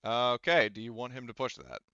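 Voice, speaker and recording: A man narrating a tabletop roleplaying game as game master, talking in a halting, rambling, informal way with some swearing. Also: mid-range voice, lively intonation, muffled recording, noisy background.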